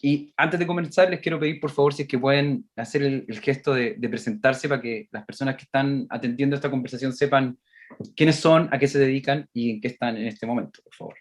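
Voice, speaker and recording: A man hosting a video call talk, animated and repeating phrases for emphasis. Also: mid-range voice, brisk. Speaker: host. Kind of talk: moderating a casual conversation.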